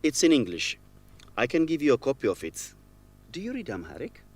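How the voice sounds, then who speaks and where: A man's voice: medium pitch, wildly swooping pitch, a little fast, dry recording. A man acting a serious, direct young student in a radio drama. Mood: curious. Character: serious, guarded young Ethiopian student, an orphan.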